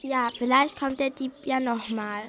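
A female performer plays a bold, practical schoolgirl turned detective in a homemade radio play. Her voice is high, over faint background noise. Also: fairly even intonation, unhurried, pushed and bright. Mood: curious. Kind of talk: amateur children's radio play.

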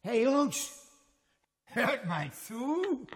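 A performer playing a cheeky wartime soldier who sings out to his mates in a musical audio drama, drawn-out and singsong. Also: mid-range voice, very animated. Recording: loud music over the voice.

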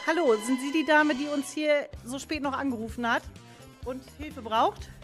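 A woman's voice: high voice, fairly even intonation, moderate pace, over music, close to an everyday voice. A woman playing a polite, businesslike and reassuring police officer in an amateur radio play, speaking in an orderly way.

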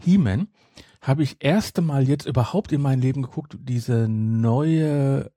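A man's voice: mid-range voice, unhurried, dark tone, no echo, clean studio sound. A man, chatting casually as a podcast co-host. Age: 50s. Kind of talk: casual chat about audio dramas.

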